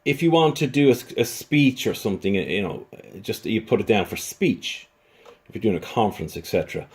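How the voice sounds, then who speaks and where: A man talking through a casual, unscripted product review as a reviewer, in a hesitant, halting way with repeated words. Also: on the low side.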